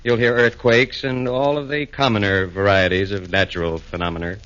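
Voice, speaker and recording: Low-voiced male storyteller, sing-song rhymes, 1940s lo-fi broadcast. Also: level tone, normal speed, a bit bright. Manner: theatrical, sing-song rhyming delivery. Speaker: narrator. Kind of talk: rhyming comic radio narration. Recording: dull old radio broadcast.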